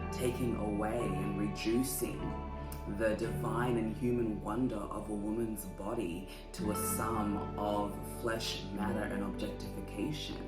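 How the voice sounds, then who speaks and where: A woman with a strikingly deep, low voice speaks slowly and deliberately, weighing each phrase in a solemn, measured way. She sounds roomy and echoey, with loud guitar music playing under her. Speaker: host. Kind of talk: scripted poetic narration.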